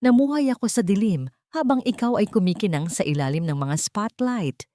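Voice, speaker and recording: A woman's voice: medium pitch, expressive, moderate pace, warm tone, no room sound, clean studio sound, natural voice. A woman voicing a bitter, vengeful man, a cold and taunting villain in an audio drama. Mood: bitter anger. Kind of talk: melodramatic audio drama acting.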